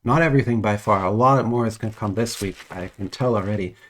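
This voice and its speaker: A man's voice: on the low side, quick pace, a bit bright. A man with a cold chatting casually while unboxing trading cards at home, rambling with many restarts and little chuckles. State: has a cold, voice a bit off. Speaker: trading card unboxing vlogger.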